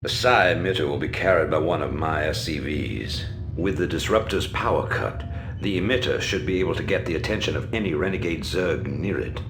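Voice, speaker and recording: A proud older emperor from a video game, with a deep voice. He is pompous and measured, a schemer who speaks with open disdain. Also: noisy background. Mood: businesslike.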